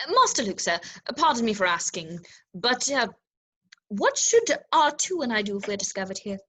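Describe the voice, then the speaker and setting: A woman's voice: mid-range voice, big pitch swings, moderate pace, fairly bright tone. A woman acting a fussy, polite, anxious male protocol robot in a table read. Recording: distant video-call microphone.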